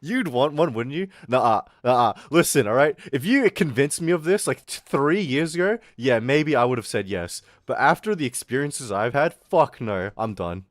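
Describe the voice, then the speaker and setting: Animated Australian man ranting on stream. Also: medium pitch, moderate pace, hardly any pauses, dry recording. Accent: Australian with some American. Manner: animated, swearing, mocking voices. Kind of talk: casual ranting stream chat.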